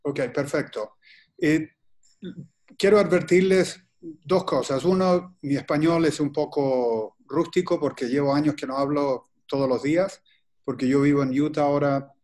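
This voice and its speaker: A man from Chile presents in a webinar over a video call, his voice sitting a little higher than most men's. He talks in a halting, deliberate way, filling gaps with "eh" and restarting phrases as he works through his points. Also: steady tone, a little mellow. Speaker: guest presenter.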